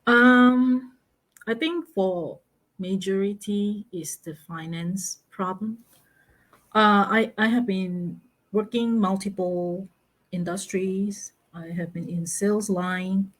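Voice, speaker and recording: A middle-aged woman from Malaysia, a guest on a live interview, speaking slowly and haltingly with many fillers and easy laughs. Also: medium pitch, fairly even intonation. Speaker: guest, online business mentor. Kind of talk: casual interview over a live stream.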